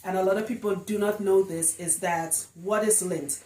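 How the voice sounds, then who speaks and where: A woman's voice: mid-range voice, steady tone, moderate pace, a little mellow. A woman giving a casual, chatty explainer to camera as a hairstylist, emphatic and fond of rhetorical questions. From Trinidad. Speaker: locs hairstylist. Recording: roomy, echoing indoor sound.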